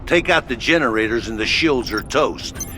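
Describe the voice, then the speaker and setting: A man's voice: mid-range voice, expressive, moderate pace, close to an everyday voice. A man playing a brash, plain-spoken HQ officer in a video game, briefing in a blunt, cocky, colloquial way. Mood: cocky, upbeat. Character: brash, plain-spoken male military HQ officer, gung-ho and slangy. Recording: background music under the voice.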